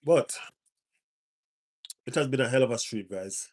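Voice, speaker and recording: A man's voice: mid-range voice, measured pace, darkish tone. Stuffy-nosed man chatting on a live stream. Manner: repeats phrases for emphasis. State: stuffy nose. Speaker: football fan streamer. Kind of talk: casual live stream chat.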